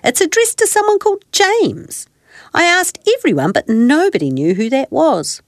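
A woman's voice: high-pitched, huge pitch swings, normal speed, no echo, studio quality, full character voice. A woman voicing a breezy, matter-of-fact toy dog postman who explains things emphatically in a children's story. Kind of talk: children's storybook character reading.

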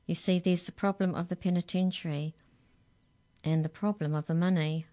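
A woman's voice: on the low side, fairly even intonation, normal speed, mellow, natural voice. Crafty giant pie man, played by a female narrator, wheedling, self-justifying, storybook style. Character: wheedling male giant pie maker, a cheat.

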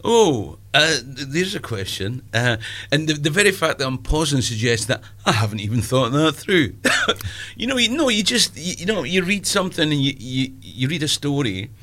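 A man's voice: a little high, very animated, normal speed, a bit bright. A Scottish man in his fifties, a stand-up comedian chatting in an interview, self-deprecating and full of asides. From Scotland. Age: 50s. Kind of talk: casual interview chat.

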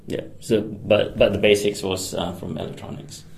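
A man's voice: on the low side, level tone, moderate pace, vocal fry, a little hiss or hum. A man, a guest answering interview questions with many ums, restarts and frequent laughs. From Singapore. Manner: hesitant, many fillers and restarts; laughs often. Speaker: guest, electronics engineer. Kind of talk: conversational radio interview.

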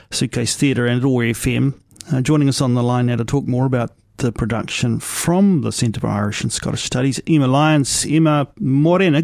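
A male radio host with a mid-pitched voice. He presents in measured, slightly hesitant phrases, close to the microphone in a dry studio. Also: no room sound.

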